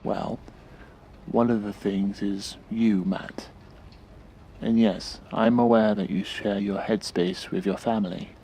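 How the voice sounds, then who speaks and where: Guy playing a calm probing shrink in an audio drama, lowish mellow voice, very polite and clinical. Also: creaky, slight background noise. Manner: measured, polite, clinical questioning. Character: calm, probing, patient male therapist.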